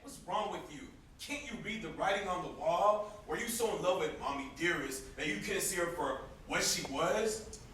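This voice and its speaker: A man's voice: sits high, normal speed, a bit bright. A man acting a bitter, sarcastic young brother in an amateur stage play. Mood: defiant, bitter. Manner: sarcastic, confrontational. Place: indoor theatre stage. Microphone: distant camera microphone. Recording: distant echoey stage recording, faint noise.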